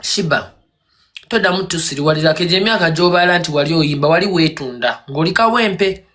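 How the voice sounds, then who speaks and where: Sex: man. Pitch: high. Style: heated, scolding rant. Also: lively intonation, quick pace, bright, forceful tone. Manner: scolding, mocking, confrontational. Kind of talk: heated rant on a live stream.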